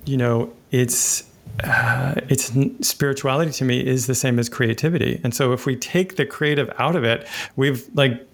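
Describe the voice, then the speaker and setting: A man's voice: mid-range voice, steady tone, moderate pace. A man speaking as an interview guest and author, casual and reflective, hesitant, with many small informal filler words.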